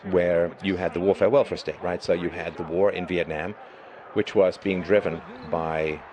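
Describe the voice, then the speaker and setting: A middle-aged man speaks in a very low, hoarse voice and lectures emphatically in long spoken runs. His stressed points jump up in force. A crowd murmurs behind him. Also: fairly even intonation, normal speed. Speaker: live stream host. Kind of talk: monologue commentary.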